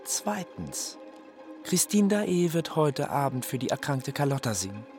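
Menacing, imperious phantom, wide-swinging voice. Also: male voice, on the high side, sing-song. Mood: coldly threatening. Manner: formal, commanding, deliberate. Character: menacing, imperious opera phantom dictating his demands. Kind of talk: German radio play acting. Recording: music behind the voice.

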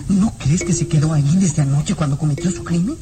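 A woman's voice: sits low, a little fast, noisy background, lightly acted. A woman voicing a small, outspoken boy sidekick, earnest, insistent and suspicious, in a radio serial. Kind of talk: old radio serial acting. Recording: radio drama with music behind.

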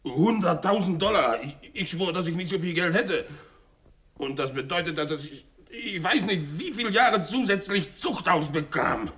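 An actor playing a bitter, vengeful French sailor and former convict in a 1960s German radio crime drama, telling his story haltingly and with feeling. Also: high-pitched, lively intonation, fairly bright tone. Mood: bitter agitation. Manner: halting, stammering, emotional storytelling.

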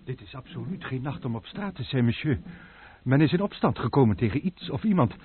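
A man's voice: mid-range voice, sing-song, brisk, slightly rough. An actor playing a nervous, deferential male servant in a radio drama, on an old tinny recording. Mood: anxious. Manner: anxious, deferential.

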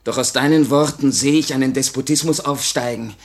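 An actor playing an anguished, idealistic young Russian revolutionary, earnest and theatrical, in a 1950 radio play. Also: male voice, mid-range voice, steady tone, a little fast, natural voice. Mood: proud, resolute. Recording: vintage 1950 radio recording.

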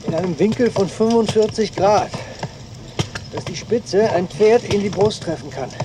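A man's voice: high-pitched, moderate pace, noisy background, natural voice. An actor playing an English archer who describes his work in the field as an eyewitness in a radio drama. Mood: urgent.

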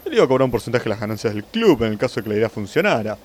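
Man as a pompous, animated club president. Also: medium pitch, racing, slight background noise, natural voice. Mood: confessing. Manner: pompous, wordy. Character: pompous, stubborn male football club president. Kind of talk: comic radio play acting.

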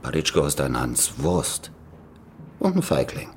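An actor playing a wry, grimly resigned Soviet regional official in a German radio drama, with ponderous, theatrical phrasing. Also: male voice, very deep voice, wildly swooping pitch, unhurried, a little hiss or hum, full character voice. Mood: scornful, grim.

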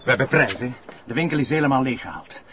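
An actor playing an easygoing, colloquial police brigadier with a Flemish accent in a naturalistic audio drama. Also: male voice, medium pitch, expressive, quick pace, fairly bright tone, far from the mic, close to an everyday voice. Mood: alert. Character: easygoing male police brigadier, reflective and dryly discreet. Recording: noisy, off-mic audio drama with street ambience.

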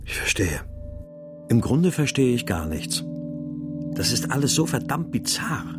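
An actor playing a troubled husband searching for his missing family, narrating and speaking in a measured, brooding way in a radio crime drama. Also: medium pitch, big pitch swings, over loud music. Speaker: lead actor and first-person narrator.

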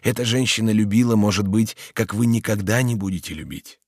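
A man reading aloud and voicing a calm, compassionate old servant in a measured, solemn way. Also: lowish voice, no room sound, studio quality, voice pushed up, close to an everyday voice. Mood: gentle. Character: older male servant, calm, grave and compassionate.

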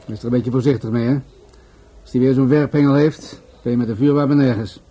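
A man acting in a radio play as a musing, world-weary police commissioner who speaks deliberately. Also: medium pitch, steady tone, dark tone, breathy edge, lightly acted, rough recording. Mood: brisk, commanding.

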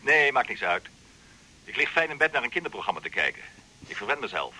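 A teasing, husky-voiced man. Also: medium pitch, brisk, full character voice, rough recording. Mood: friendly. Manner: teasing, lively. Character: man, playful lover and doctor. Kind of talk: 1980s Dutch radio drama.

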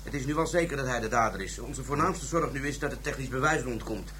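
A man's voice: medium pitch, quick pace, a bit bright, close to an everyday voice. Male actor as matter-of-fact investigator: middle register, procedural delivery, radio drama with audible noise. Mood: businesslike. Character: businesslike male police detective briefing his chief. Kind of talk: naturalistic radio drama acting. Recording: noisy radio drama recording.

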